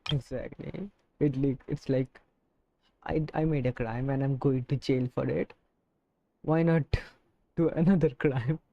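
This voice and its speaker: A man's voice: mid-range voice, steady tone, unhurried, gravelly, pauses often, no echo, highs cut off. A man livestreaming a game, chatting casually with brief, laid-back reactions. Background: faint game music.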